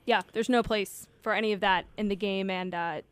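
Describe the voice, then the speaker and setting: A woman co-hosting a podcast, speaking calmly and in a measured way before passing the conversation to her co-host. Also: mid-range voice, clean tone. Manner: calm, measured, hands the turn to a co-host. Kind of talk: casual chat.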